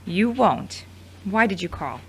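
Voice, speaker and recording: A woman's voice: mid-range voice, lively intonation, slow pace, a bit bright, husky, full character voice. A woman playing an irritated, defensive, jealous woman in an audio drama, indignant and sarcastic. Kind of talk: scripted audio drama acting.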